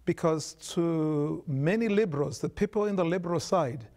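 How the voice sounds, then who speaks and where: Guy from zimbabwe, pretty high voice, lectures hard, trips over words sometimes. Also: normal speed, a little mellow. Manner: earnest, emphatic, lecturing, stumbles and repeats words. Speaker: guest, author. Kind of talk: studio talk show discussion.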